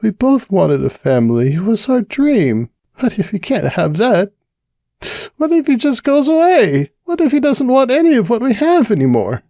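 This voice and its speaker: A man voicing a tipsy, anxious young mare pony, high and wavering, on a dry close recording. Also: wildly swooping pitch, moderate pace, warm tone, no echo, heavily acted. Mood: drunk, anxious.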